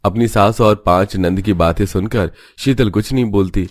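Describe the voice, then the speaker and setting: A man's voice: lowish voice, normal speed, no echo. A man narrating a scripted cartoon family story as the storyteller.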